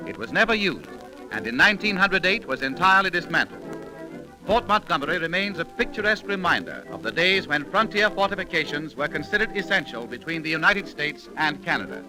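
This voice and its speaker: A man's voice: on the high side, very animated, brisk, poor audio. A man narrating a scripted 1930s radio program in a dramatic, formal storytelling manner. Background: music.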